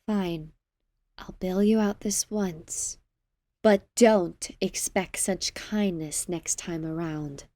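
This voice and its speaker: A woman playing a regal, wry queen in an audio drama, with a measured, haughty and teasing manner. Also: lowish voice, warm tone, dry recording. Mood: exasperated. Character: regal, wry queen, fond but condescending.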